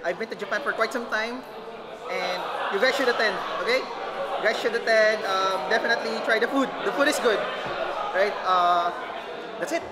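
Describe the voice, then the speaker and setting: A man's voice: high-pitched, moderate pace. A man from the Philippines with a Filipino accent, chatting casually to camera. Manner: chatty, informal. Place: large bright atrium with people. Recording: very loud crowd behind.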